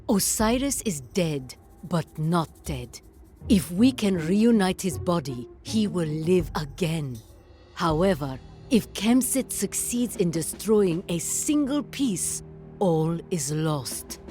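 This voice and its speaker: A woman's voice: lowish voice, big pitch swings, mellow, soft background music. A woman playing a serene, solemn wise figure in a video game cutscene, speaking portentously. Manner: slow, portentous.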